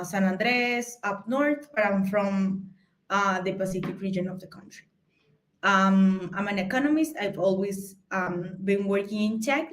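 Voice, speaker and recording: Colombian woman giving a halting talk. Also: medium pitch, poor audio. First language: Spanish. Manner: halting, many fillers and restarts. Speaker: conference speaker. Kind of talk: informal tech talk.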